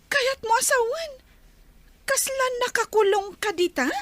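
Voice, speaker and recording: A woman's voice: very high voice, expressive, a little slow, a bit bright, exaggerated voice. A woman acting a chatty, concerned friend in an Ilocano radio drama. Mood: alarmed concern.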